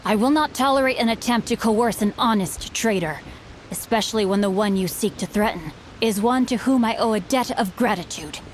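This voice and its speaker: A woman's voice: mid-range voice, normal speed, a little hiss or hum, natural voice. A woman voicing a bookish, chivalrous teenage boy swordsman in a video game, speaking in a formal, eloquent, earnest way. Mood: resolute. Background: game music.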